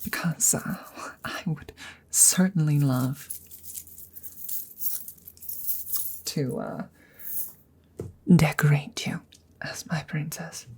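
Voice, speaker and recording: A woman plays a courteous, awkward she-dragon in an intimate ASMR roleplay. Her voice is lowish and mellow with a gravelly creak, often thinning to a whisper. She picks her words haltingly and formally, shy and smitten. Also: full character voice. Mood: pleased, tender. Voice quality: husky, breathy, often slipping into whispers. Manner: hesitant, halting, formal and polite.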